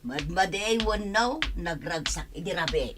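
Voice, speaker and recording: A man's voice: sits high, expressive, moderate pace. Man acting nosy grumbling housemaid, noisy recording. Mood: irritated. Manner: hesitant and questioning, muttering asides. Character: nosy, grumbling female household helper, called older sister. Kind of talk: amateur audio drama acting.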